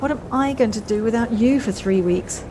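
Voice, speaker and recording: A woman in an audio play voicing a brisk, fretful, well-spoken wife with a wry, slightly snobbish manner, recorded at home on a personal device. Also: on the high side, background noise, natural voice. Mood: fond.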